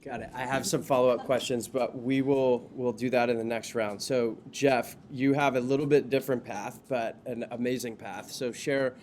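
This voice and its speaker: A man's voice: medium pitch, steady tone, moderate pace, hardly any pauses, a little mellow, a little hiss or hum. Encouraging male podcast host. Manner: brief, encouraging prompts to guests. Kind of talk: moderating a live podcast panel. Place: office podcast studio with live audience.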